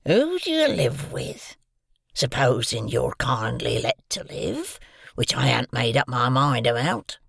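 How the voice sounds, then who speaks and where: Give me a man playing a menacing convict in a storybook, slow and gravelly with whispered threats. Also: mid-range voice, sing-song, fairly bright tone, no room sound, clean studio sound, full character voice. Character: menacing ragged male convict, hungry and threatening.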